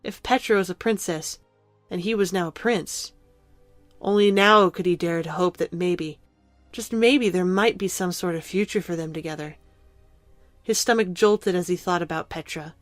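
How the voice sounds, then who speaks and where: A woman reading scripted narration for an audio drama in a measured, dramatic storytelling manner. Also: fairly low pitch, no echo, faint music behind.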